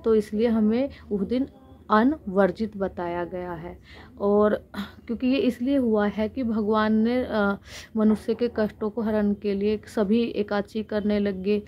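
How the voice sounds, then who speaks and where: A woman's voice: medium pitch, steady tone, a little slow, muffled recording. Indian woman giving devotional teaching, noisy recording. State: sore throat with frequent throat clearing. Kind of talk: religious discourse, explanatory teaching.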